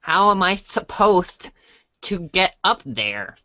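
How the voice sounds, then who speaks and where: A woman's voice: lowish voice, sing-song, takes it slowly, muffled recording, noisy background. A woman playing a naive, good-natured young boy hero in a storybook read-along, earnest and hesitant. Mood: puzzled.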